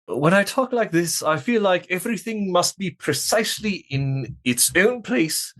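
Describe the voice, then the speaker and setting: An uptight, exacting control freak played by a man speaks through clenched teeth. His voice sits high and bright, and every word is precise, with fury close under the surface. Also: expressive, a little slow. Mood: seething, exasperated.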